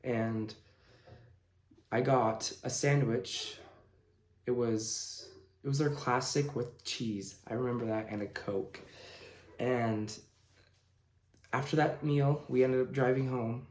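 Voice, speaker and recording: A man in his late teens casually telling a personal story in a halting, informal way, pausing mid-sentence. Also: mid-range voice, steady tone. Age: young adult, late teens. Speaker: vlogger telling own story. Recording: roomy, echoing indoor sound.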